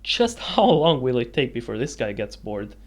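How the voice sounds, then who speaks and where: A man's voice: medium pitch, very animated, normal speed. A man narrating a scripted video essay with dramatic, suspenseful storytelling phrasing. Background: music.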